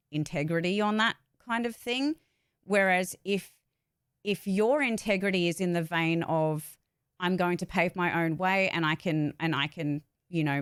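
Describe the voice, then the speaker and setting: Female vlogger, slightly low register, dry studio-like sound; opinionated, informal delivery, blunt and unafraid to swear. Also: clear, resonant tone, no echo. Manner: emphatic, candid, sweary. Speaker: vlogger, actor and voice-over artist. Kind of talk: casual opinionated talk to viewers.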